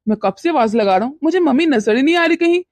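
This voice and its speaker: एक औरत घरेलू मेलोड्रामा कहानी में माँ के भक्त, नरम और उलझन में पड़े जवान पति का किरदार निभा रही है, जो घबराकर शब्द दोहराता है।. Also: female voice, high voice, expressive, quick pace, a little mellow, no echo. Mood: puzzled, coaxing. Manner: flustered, repeats words in questions. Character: young husband, devoted son, bewildered and mild. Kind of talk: melodramatic domestic story acting.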